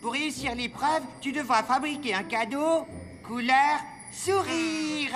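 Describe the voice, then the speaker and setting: Bossy little cartoon elf chief, high pitched, super formal, big echo. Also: a little slow, a bit bright. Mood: solemn, officious. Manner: formal, ceremonious, officious. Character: Edgar, pompous bossy chief Christmas elf. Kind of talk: children's cartoon dub. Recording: long echoing hall, music behind.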